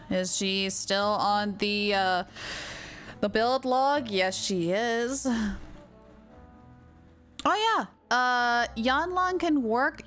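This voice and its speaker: A woman's voice: fairly high pitch, a bit bright. A woman game streamer chatting casually, thinking aloud as she reads and answers viewer comments. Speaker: game livestreamer. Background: faint game music.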